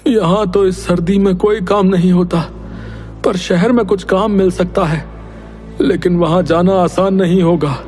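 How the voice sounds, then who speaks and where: Man voicing a high-pitched, weary poor villager. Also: steady tone, measured pace, darkish tone, faint music behind. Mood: humble, pleading. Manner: humble, plaintive, resigned. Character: poor, weary, patient male villager labourer. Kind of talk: Hindi animated moral story voice acting.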